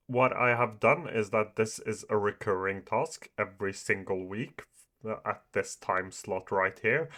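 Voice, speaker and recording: A man's voice: on the low side, a bit bright. A young man whose first language is Norwegian or Farsi gives a casual walkthrough of an app, filling his talk with "uh" and "like". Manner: many fillers, "uh" and "like", hesitant. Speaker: YouTuber reviewing apps. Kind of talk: casual screen-share walkthrough.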